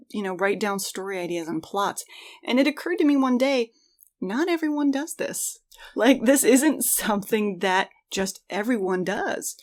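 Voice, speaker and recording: A middle-aged woman co-hosting a podcast, talking casually and reflectively in an earnest way. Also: medium pitch. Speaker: podcast co-host.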